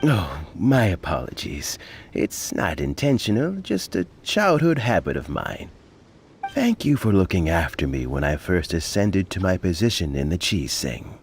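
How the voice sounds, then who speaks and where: A man's voice: low voice, big pitch swings, a little slow, faint music behind, heavily acted. An actor playing a humble, wordy old male official who talks in a rambling, hesitant way. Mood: fond. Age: older. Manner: rambling, hesitant, warm chuckles.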